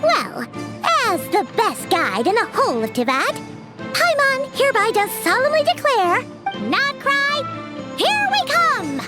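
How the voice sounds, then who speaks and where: A woman's voice: very high-pitched, sing-song, a little slow, exaggerated voice. A woman voicing a tiny, chatty female companion sprite who talks about herself in the third person, in video game dialogue with music behind. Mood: cheerful.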